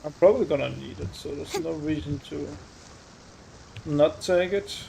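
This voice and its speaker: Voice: medium pitch, moderate pace, creaky, warm tone, low-quality recording. A gamer giving casual, informal gameplay commentary with exclamations and some swearing. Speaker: gaming streamer. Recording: noticeable background noise.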